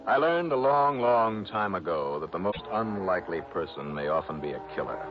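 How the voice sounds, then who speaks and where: An actor playing a cool, wry insurance investigator with a dry, measured delivery in a radio detective drama. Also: male voice, sits low, sing-song, music in the background. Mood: wry narration. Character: cool, wry male insurance investigator, narrating his case. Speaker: lead detective and narrator. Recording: noisy, muffled old radio recording.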